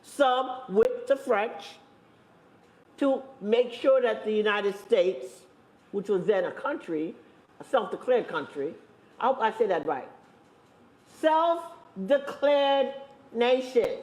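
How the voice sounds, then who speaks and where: A woman speaking on a panel, making her points with emphatic repetition and deliberate slow stresses. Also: fairly high pitch, animated, darkish tone. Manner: emphatic, repeats phrases, stresses points slowly. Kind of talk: impassioned panel talk. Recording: echoey room.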